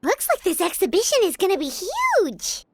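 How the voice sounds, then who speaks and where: A woman voicing a small, chirpy female companion creature in a video game, with a high, childlike, bubbly and curious way of talking. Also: wildly swooping pitch, moderate pace, no room sound, studio quality, exaggerated voice. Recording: soft background music.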